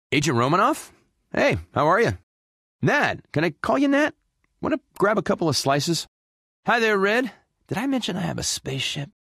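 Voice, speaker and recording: A man's voice: a little high, fairly bright tone, no echo, clean studio sound, full character voice. A man voicing a cocky, goofy space outlaw hero in a video game, with flippant, sarcastic, playful swagger. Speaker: game character voice actor. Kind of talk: short video game voice lines, quips.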